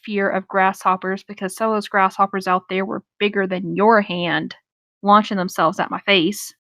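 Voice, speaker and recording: A woman's voice: mid-range voice, level tone, normal speed, fairly bright tone. A woman with a mid-range voice talks like a co-host, chatting and reading a story aloud. Her delivery is informal and rambling, with loose swearing. The sound is very dry, with no room echo. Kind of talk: casual chat and story reading.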